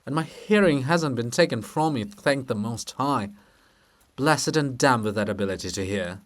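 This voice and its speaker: Brooding elderly poet statue for a stage drama, read by a man: melancholic, heavy-hearted, unhurried. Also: medium pitch, animated, voice pushed down, close to an everyday voice. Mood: troubled. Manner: mournful, slow, melancholic. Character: monument of a brooding, anguished old poet, male.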